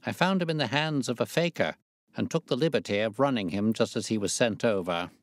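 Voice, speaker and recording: A man voicing a composed, imperturbable gentleman detective in an audiobook, with an unruffled, quietly confident manner. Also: medium pitch, no room sound, studio quality, close to an everyday voice. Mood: assured. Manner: unruffled, measured. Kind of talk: audiobook character dialogue.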